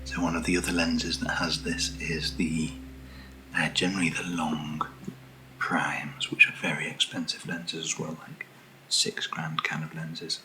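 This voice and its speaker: A British man speaks very softly in a deep voice, often slipping toward a whisper. He takes his time as he chats in an unscripted ASMR style, murmuring gently playful asides. Music plays clearly behind him. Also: monotone, measured pace, poor audio. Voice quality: soft, breathy, often near whisper. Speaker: ASMR product reviewer. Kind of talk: soft-spoken ASMR, unscripted unboxing chat.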